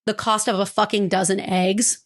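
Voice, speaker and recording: Hoarse woman co-host, joking and salty. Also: medium pitch, little pitch movement, normal speed. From California, United States. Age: 40s. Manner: salty, joking, swears casually. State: hoarse from a cold. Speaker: podcast co-host. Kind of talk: casual chat with a scripted explainer.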